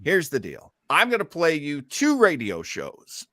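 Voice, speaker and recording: A man's voice: fairly high pitch, wildly swooping pitch, unhurried, sharp tone, no echo, clean studio sound. A man hosting a casual live online show in an effusive, folksy way with plenty of exclamations. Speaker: host of an online listening show.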